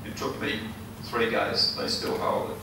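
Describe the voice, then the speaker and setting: An older Australian man with a hoarse, failing voice, giving an earnest campaign speech full of ums and uhs. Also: measured pace, sharp tone. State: hoarse, losing his voice. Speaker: election candidate. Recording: echoey hall, mic far off, noisy.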